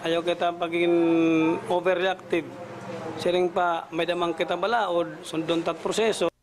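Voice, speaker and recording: A man's voice: high-pitched, level tone, measured pace. A man with a Waray accent from the Philippines giving a spontaneous account as a news interviewee. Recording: noisy on-location news interview recording.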